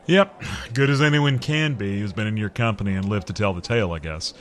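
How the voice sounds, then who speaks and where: A man playing a gruff, blunt and wry commander, brisk and suspicious, in an audio drama. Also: lowish voice, lightly acted.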